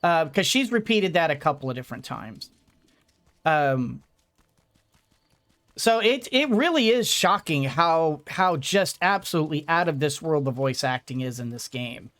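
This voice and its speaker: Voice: medium pitch, lively intonation, a little slow, fairly bright tone. A let's play streamer giving casual game commentary, rambling and thinking aloud.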